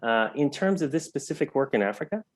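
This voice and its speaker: An American man giving thoughtful interview answers in a measured, explanatory way, stressing key words. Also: mid-range voice, expressive, no echo, clean studio sound. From United States. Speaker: interview guest, health entrepreneur.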